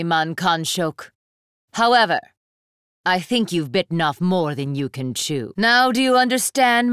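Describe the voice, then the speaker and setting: A woman's voice: mid-range voice, a bit bright, no room sound, clean studio sound. A woman playing an imperious young student council leader in an anime fighting game, commanding, haughty and formal with steely resolve.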